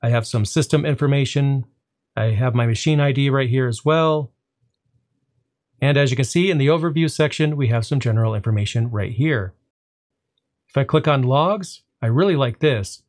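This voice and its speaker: A man narrating a screen-recorded walkthrough of a server tool, speaking at a middle pitch. He explains each step in an easygoing way and likes a little pause before the payoff. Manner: relaxed explanatory, drawn-out "well" before punchlines. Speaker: tech tutorial host.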